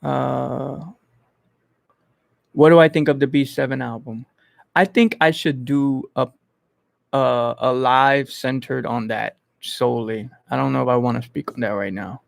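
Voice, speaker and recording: Gravelly New man, chatting casually. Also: mid-range voice, steady tone, no echo. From Bronx, New York. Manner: informal, halting, thinking aloud. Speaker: host of an online entertainment interview channel. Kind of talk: casual livestream chat answering viewers.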